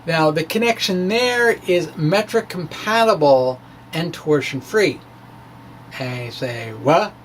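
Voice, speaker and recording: Higher-voiced man explaining, noisy recording. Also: expressive. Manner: halting, rambling, colloquial, with wry asides and chuckles. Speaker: amateur physics blogger presenting his work. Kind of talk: informal spoken physics explanation, dramatic reading of his blog. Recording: clear background noise.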